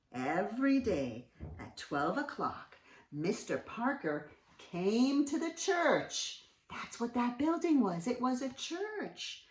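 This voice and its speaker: A woman reading aloud to young children as host, expressive and dramatically emphatic, pausing to ask questions. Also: medium pitch. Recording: roomy echo, distant mic.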